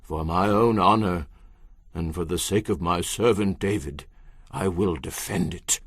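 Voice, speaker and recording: An actor playing a stern prophet who declares God's word in a solemn, emphatic, declamatory way for a dramatized audio Bible. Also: male voice, low-pitched, measured pace, husky, no room sound, clean studio sound. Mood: solemn, proclaiming.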